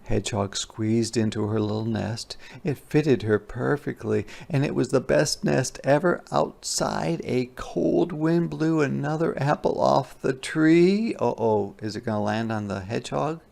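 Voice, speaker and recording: A man reading a storybook aloud as a teacher, expressively, pausing to explain words simply for children. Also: medium pitch, gravelly, a little mellow.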